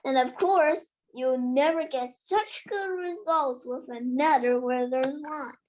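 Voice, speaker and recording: A child voicing an eccentric old wandmaker from a storybook, precise, formal and stern, with halting delivery. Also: high voice, a little mellow, slight background noise. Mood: absorbed, exacting.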